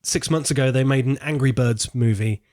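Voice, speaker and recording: A man's voice: medium pitch, moderate pace, a little mellow. A man co-hosting a podcast, chatting casually about films in an informal, rambling way as he thinks aloud. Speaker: podcast co-host. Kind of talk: casual film discussion chat.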